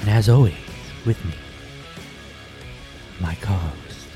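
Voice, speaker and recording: A man co-hosting a casual wrestling podcast, relaxed and agreeable, chatting with his co-host. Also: fairly low pitch, slow pace, music in the background. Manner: relaxed, agreeable, reads lists of services evenly.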